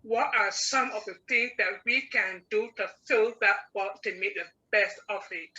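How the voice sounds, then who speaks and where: A woman hosting an online session, putting questions to a guest in a measured way over a video call. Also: mid-range voice, pushed and bright, lo-fi sound. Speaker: host. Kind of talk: webinar moderation.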